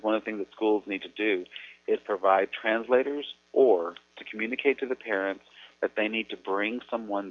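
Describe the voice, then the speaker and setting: A Mexican American man, an educator, explaining things earnestly in a measured way with thoughtful pauses. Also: fairly low pitch, dry recording. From United States. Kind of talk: explanatory talk on radio. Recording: tinny phone-like radio audio.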